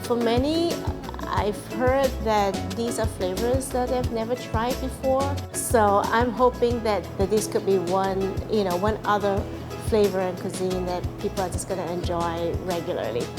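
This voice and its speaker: A woman from Malaysia, a restaurant owner, calmly and earnestly explaining her food in an interview. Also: medium pitch, normal speed. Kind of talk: interview soundbite. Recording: music bed loud underneath.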